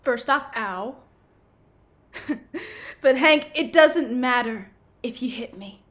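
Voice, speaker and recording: Audio comedy villain, female actor: mocking, defiant petty crook, unhurried drawl of taunts, higher register. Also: a bit bright. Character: defiant, mocking serial jaywalker villain who cackles and taunts. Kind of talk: comedic audio drama acting.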